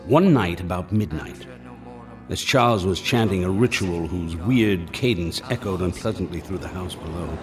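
Man acting low-voiced, steady radio-drama doctor. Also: close to an everyday voice. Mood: grave, measured. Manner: measured, formal storytelling. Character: steady, fatherly male family doctor recounting strange events. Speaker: narrator and doctor. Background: prominent music.

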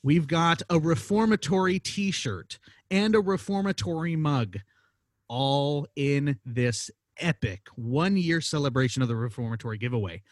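A man's voice: mid-range voice, dry recording, studio quality. A man co-hosting a casual podcast, joking and teasing his co-host, often repeating phrases. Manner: jokey, animated, repeats phrases.

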